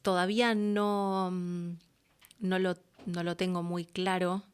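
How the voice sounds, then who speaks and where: A woman's voice: fairly low pitch, level tone, takes it slowly, clean tone. A woman with an Argentine accent speaking as an interview guest, casual and reflective, acting out little quotes as she explains.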